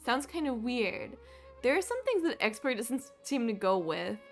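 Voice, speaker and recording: A woman's voice: on the high side, sing-song, moderate pace, soft background music. A woman livestreaming a game with casual, giggly chat and an easily flustered manner.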